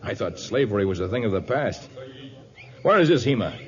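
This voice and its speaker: An actor playing a bold, righteous jungle hero in a 1950s radio adventure, with formal, precise and imperious diction. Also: male voice, fairly low pitch, very animated, brisk. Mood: commanding, indignant. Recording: dull vintage radio recording.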